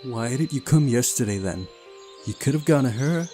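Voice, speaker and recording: A man voicing a guarded, resentful young woman who is quick to anger, in a visual novel reading. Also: mid-range voice, lively intonation, mellow, soft background music, voice pushed up, lightly acted. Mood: accusing.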